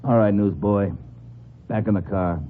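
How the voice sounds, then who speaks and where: A man's voice: on the low side, a little slow, close to an everyday voice. An actor playing a sneering, bullying gangster in a 1950s radio crime drama, with slangy tough-guy talk. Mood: gruff, commanding. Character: menacing, sneering male gangster, bullying and impatient. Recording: muffled, noisy old 1950s radio recording.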